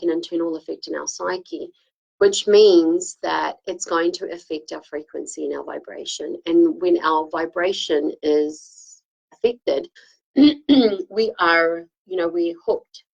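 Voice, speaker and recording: Low-voiced woman with a slight cold. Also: rough recording. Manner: rambling, many fillers and restarts, frequent throat clearing. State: slight cold, throat a bit affected. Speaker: spiritual talk host. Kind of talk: casual spoken talk, answering viewers' comments.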